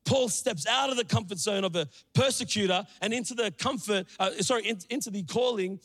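A man from Sri Lanka preaching a sermon in a church, joking and calling out to the crowd with lively energy. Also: high-pitched, a little fast. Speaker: pastor. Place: church auditorium. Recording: reverberant church hall.

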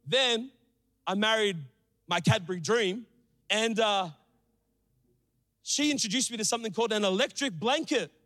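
High-voiced pastor preaching in a reverberant hall. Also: male voice, moderate pace, pauses often. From Sri Lanka. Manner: energetic, joking, calls out to the crowd. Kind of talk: sermon. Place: church auditorium.

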